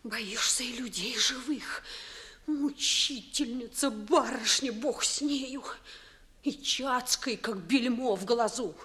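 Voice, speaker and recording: A pert young maidservant speaks in a high voice at an unhurried pace. She is folksy and theatrical, timid yet ready to scold, as in 1950s radio theatre. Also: fairly bright tone. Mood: nervous, grumbling. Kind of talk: 1950s Russian classical radio theatre acting.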